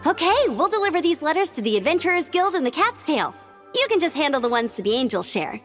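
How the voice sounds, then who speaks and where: A woman playing a brisk, cheerful young helper in a video game. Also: high voice, big pitch swings, faint music behind. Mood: upbeat.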